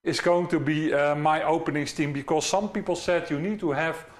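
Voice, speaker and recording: Dutch man, 50s, high-pitched, casual stream host from a home studio. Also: steady tone, normal speed. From Netherlands. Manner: chatty, frequent "uh" fillers. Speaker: DJ educator hosting a live stream. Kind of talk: live stream talk to chat.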